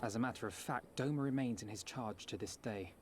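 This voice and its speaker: An actor playing a measured, erudite male strategist in a video game, formal, precise and composed. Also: medium pitch, close to an everyday voice. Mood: analytical. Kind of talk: dramatic video game voice acting. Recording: faint background noise.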